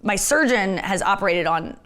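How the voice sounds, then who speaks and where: A woman comedian with a husky, gravelly voice and strained vocal cords, chatting fast and candidly in an interview. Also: medium pitch, expressive, fairly bright tone. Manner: rapid, candid, self-deprecating talk with many fillers. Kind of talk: casual fast-talking interview chat.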